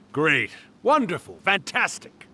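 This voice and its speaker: A man's voice: a little high, bright, forceful tone, a little hiss or hum, heavily acted. A man voicing a genial, centuries-old spirit with a wry, fond, theatrical manner in an anime game. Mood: fond, pleased. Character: genial, centuries-old male yokai spirit, storyteller and artist.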